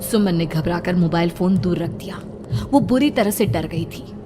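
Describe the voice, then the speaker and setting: A woman's voice: on the low side, expressive, moderate pace. A woman narrator reading a scripted horror story in a dramatic style. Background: music.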